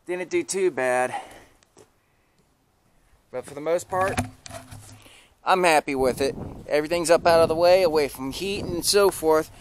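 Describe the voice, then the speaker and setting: Man vlogging outdoors over clear noise. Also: a little high. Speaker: vlogger showing his truck project. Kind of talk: casual how-to vlog narration. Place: outdoors by a vehicle in a residential yard. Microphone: handheld camera mic at arm's length. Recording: clearly noisy handheld outdoor recording, clicks.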